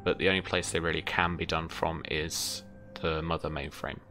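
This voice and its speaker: Young guy game master, low bright voice, feels his way through descriptions, halting. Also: little pitch movement. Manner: halting, thoughtful phrasing. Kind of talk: unscripted tabletop game narration. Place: indoor room, online video call. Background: faint music.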